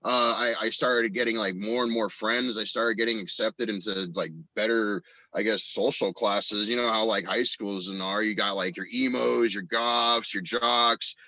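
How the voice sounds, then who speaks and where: A man's voice: on the low side, steady tone, moderate pace, few pauses, sharp tone, dry recording, lo-fi sound. A man in a casual chat as a guest, telling personal anecdotes in an informal, rambling way. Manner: informal and rambling, quotes people in acted snippets. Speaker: podcast guest, audio drama actor and writer.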